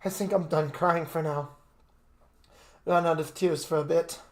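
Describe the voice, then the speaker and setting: Story role, read by a man: mournful young heir, sullen and argumentative, fairly high male voice. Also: fairly even intonation, measured pace, lightly acted. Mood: weary. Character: grieving young upper-class heir, tearful and stubborn.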